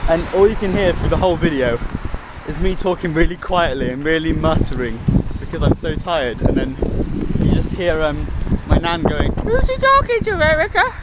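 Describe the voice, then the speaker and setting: A young man with a slight Gloucestershire accent, rambling in a giggly, easily distracted way while filming himself on a dark street at night. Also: fairly high pitch, sing-song, moderate pace. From England. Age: young adult. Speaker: vlogger. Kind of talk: rambling casual vlog. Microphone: phone held at arm's length. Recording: loud wind noise on phone mic.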